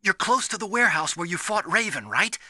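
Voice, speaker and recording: A nerdy young American engineer in a video game. His voice is high and very bright, swinging widely in pitch as he explains things with earnest, anxious emphasis. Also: sing-song, a little fast, husky, no room sound, studio quality, full character voice. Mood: urgent instructing. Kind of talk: dramatic video game voice acting.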